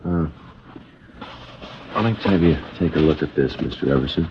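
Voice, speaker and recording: An actor playing a calm, terse American police sergeant in a matter-of-fact, understated radio drama style. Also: male voice, very deep voice, moderate pace, slight background noise. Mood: firm, polite. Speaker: narrator and lead detective. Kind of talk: clipped 1950s radio police drama acting. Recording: dull old radio recording, music.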